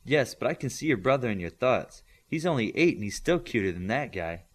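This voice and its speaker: A man's voice: mid-range voice, big pitch swings, a little fast. A man voicing a curious, bantering teenage superhero boy in an audiobook story. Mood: wry. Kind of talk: audiobook character dialogue.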